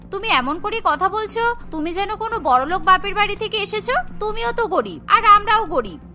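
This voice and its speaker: A woman's voice: very high-pitched, pushed and bright, exaggerated voice. A woman voicing an outspoken, cheeky young sister-in-law in a cartoon.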